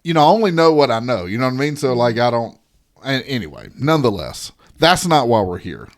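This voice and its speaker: A man's voice: medium pitch, big pitch swings, a little fast. A man from the Southern United States co-hosting a podcast in casual chat, rambling and restarting his sentences, often teasing his co-host.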